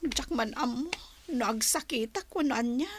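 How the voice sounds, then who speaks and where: A woman's voice: a little high, animated, a little slow, no echo. A woman playing a heartbroken young woman in an Ilocano radio drama, speaking plaintively with a Filipino accent. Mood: dejected. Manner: plaintive, emotional. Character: heartbroken young woman pleading with her boyfriend.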